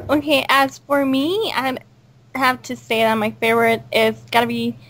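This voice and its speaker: Higher-pitched woman, rambling casually. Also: steady tone, normal speed, noisy background. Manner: hesitant, rambling. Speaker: cast member in a group interview. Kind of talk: casual chat.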